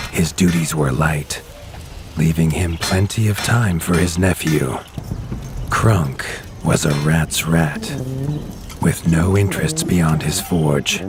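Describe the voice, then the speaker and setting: A man with a very deep, low voice tells the tale as the narrator of a storybook game. He keeps a grave, measured storyteller's pace. The studio voice-over sounds clean. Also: background noise.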